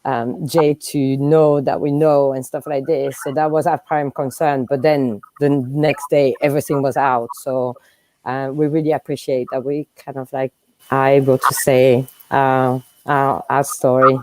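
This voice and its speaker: A woman guest in a casual group discussion, speaking haltingly and searching for her words. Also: a bass voice, little pitch movement, a little mellow, no room sound, rough recording.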